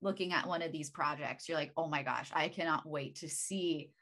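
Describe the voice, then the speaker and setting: Chatty woman interviewer, lowish voice. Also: normal speed, a bit bright. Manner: chatty, uses like and you know. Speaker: interviewer host. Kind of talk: casual interview questions.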